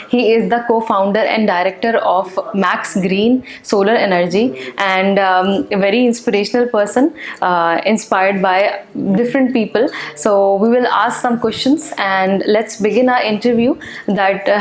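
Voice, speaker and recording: A woman with a Pakistani accent hosting a business interview, asking questions in a brisk manner with many fillers. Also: mid-range voice. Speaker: interview host.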